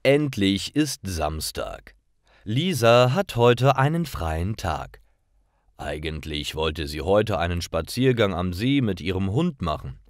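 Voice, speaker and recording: A man reads simple stories for language learners. His voice is fairly low and very slow, with lively intonation. Each sentence is carefully set apart. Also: no room sound, studio quality. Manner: careful, clearly separated sentences. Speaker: narrator. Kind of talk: scripted story narration for language learners.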